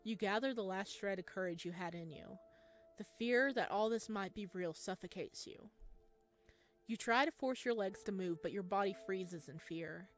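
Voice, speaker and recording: A woman's voice: mid-range voice, moderate pace. Woman playing and narrating a game, music behind. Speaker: video game player reading aloud and commenting. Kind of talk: casual let's-play commentary with dramatic read-aloud narration.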